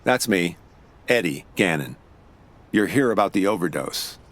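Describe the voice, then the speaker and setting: A man's voice: low-pitched, expressive, a little slow, fairly bright tone, heavily acted. An actor playing a weary, wry investigator who narrates his own case in an audio drama. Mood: subdued. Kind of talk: first-person noir-style audio drama narration.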